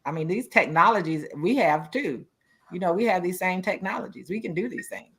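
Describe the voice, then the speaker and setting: A woman's voice: lowish voice, brisk, slightly rough. A woman on a discussion panel chatting casually and reasoning aloud in run-on thoughts. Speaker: talk show panelist.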